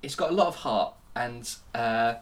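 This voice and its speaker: A man's voice: medium pitch, moderate pace, recorded from a distance. A man hosting a casual film-review podcast. He is jokey and teasing, and stuffy with a cold. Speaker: podcast host.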